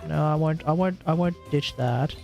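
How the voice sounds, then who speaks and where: A man's voice: a little high, normal speed, vocal fry, darkish tone. A man giving casual live commentary as a game streamer, with a grumbling, sardonic manner. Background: game music.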